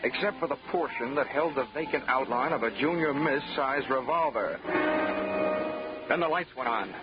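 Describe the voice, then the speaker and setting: A man's voice: mid-range voice, moderate pace. A man narrating as a hard-boiled insurance investigator in 1940s radio drama, wisecracking in a dry, clipped manner. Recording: dull old radio recording with music.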